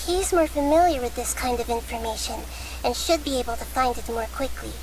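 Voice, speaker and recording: Woman as a high-voiced, composed little goddess of wisdom. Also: close to an everyday voice, poor audio. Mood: earnest, explaining. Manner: measured, calm, formal phrasing. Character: gentle, composed young goddess of wisdom, childlike and thoughtful. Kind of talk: video game character acting. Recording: game audio with background noise.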